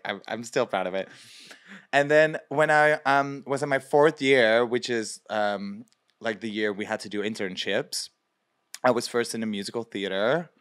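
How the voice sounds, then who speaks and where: A man in his twenties from Belgium, a guest performer telling his story expressively in a podcast interview, acting out his own past thoughts, in a small studio on a boom mic. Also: fairly bright tone, clear, resonant tone. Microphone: studio mic on boom arm.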